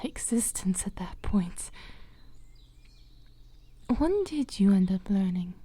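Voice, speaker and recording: A woman voicing a dramatic, affectionate female vampire companion in a soft, breathy half-whisper for a fantasy ASMR roleplay. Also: mid-range voice. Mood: exasperated, dramatic. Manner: theatrical, hesitant, endearments.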